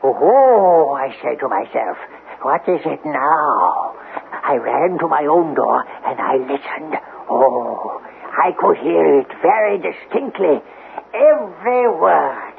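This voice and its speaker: A man's voice: fairly high pitch, very animated, slow pace, creaky, exaggerated voice. An actor playing a suspicious, eccentric, nervous male neighbour who talks evasively and repeats himself in a radio drama. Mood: agitated, secretive. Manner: evasive, repetitive, dramatic storytelling. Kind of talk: 1970s radio drama acting. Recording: tinny band-limited old radio recording, hiss.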